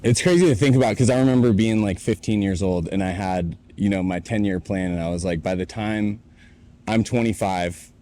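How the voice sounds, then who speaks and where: A man's voice: lowish voice, fairly quick, mellow. A man in his late twenties, co-hosting a podcast in a warehouse, telling his own story in an earnest, reflective way. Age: 20s. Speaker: podcast co-host. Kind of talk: casual chat.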